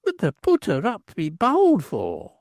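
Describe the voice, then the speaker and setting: A man voicing a stout, matronly village woman who talks in a sharp, scolding way. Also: wildly swooping pitch, normal speed, no echo, studio quality, exaggerated voice. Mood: tart. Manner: sharp, scolding, high-pitched.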